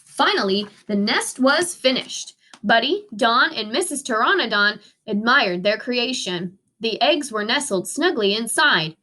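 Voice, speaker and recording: High, animated woman reading a storybook. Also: measured pace. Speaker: teacher reading aloud. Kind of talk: expressive children's storybook read-aloud.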